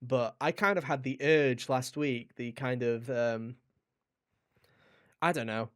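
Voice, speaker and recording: A man's voice: medium pitch, steady tone, slow, a bit bright, clear, resonant tone. Rambling, informal male podcast host. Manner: rambling, informal, addresses listeners directly. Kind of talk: casual video game podcast chat.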